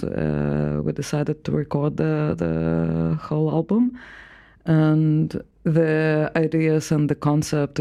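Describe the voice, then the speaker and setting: A musician guest in a radio interview, speaking slowly and haltingly with fillers. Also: mid-range voice, creaky, mellow. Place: radio studio.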